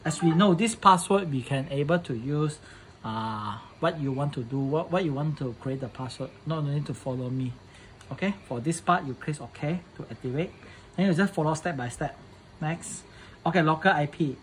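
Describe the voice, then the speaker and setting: A man presenting a casual hands-on setup tutorial in halting English, often repeating his phrases. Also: fairly high pitch, a little hiss or hum, rough recording.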